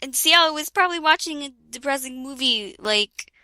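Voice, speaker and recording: High, bright-voiced woman reading aloud, giggly. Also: lively intonation, moderate pace, dry recording. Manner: giggly, often breaking off from the reading to comment. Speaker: reader hosting a comedic fan fiction reading. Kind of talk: casual, jokey read-aloud with ad-libbed asides.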